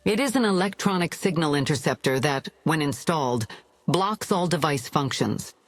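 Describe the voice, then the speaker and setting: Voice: medium pitch, animated, moderate pace, dry recording, faint music behind. A performer voicing a polite, emotionless analyzer AI in a video game, formal and informative. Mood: neutral, helpful. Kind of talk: video game cutscene dialogue.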